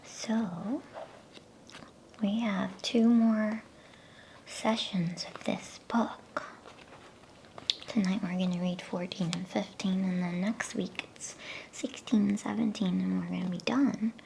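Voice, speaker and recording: A woman speaking in a breathy, soothing, unhurried whisper, as in an ASMR bedtime reading. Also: medium pitch, fairly even intonation, mellow, slight background noise, low-quality recording. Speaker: ASMR bedtime story reader. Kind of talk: whispered ASMR reading aloud of a children's novel.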